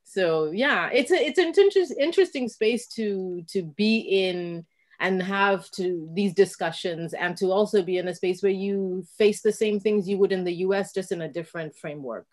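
A middle-aged woman from Kenya, a dancer talking as a guest on a video call from a home room, reflective and animated, acting out the exchanges she recounts. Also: medium pitch, moderate pace. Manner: reflective, animated storyteller who acts out quoted exchanges. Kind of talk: casual conversation on a video call. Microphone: video call, laptop mic.

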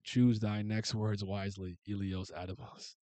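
A man voicing proud, quarrelling male gods in archaic speech, slow and declaiming. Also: fairly low pitch, level tone, mellow, no room sound, close to an everyday voice. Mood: stern. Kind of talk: reading a comic aloud in voices.

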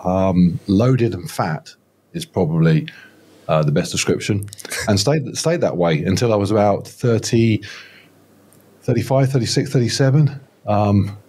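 Low husky man, about fifty. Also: expressive, a little slow, mellow. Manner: rambling, self-deprecating, many restarts. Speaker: guest. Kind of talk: casual podcast interview. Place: home studio room, indoors. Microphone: close studio mic with headphones, video call.

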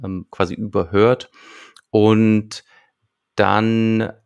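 Low, creaky-voiced podcasting man. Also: little pitch movement, extremely slow, a little mellow, muffled recording. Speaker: podcast co-host. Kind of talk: conversational recap of a radio play. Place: small room at home. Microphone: close desktop microphone.

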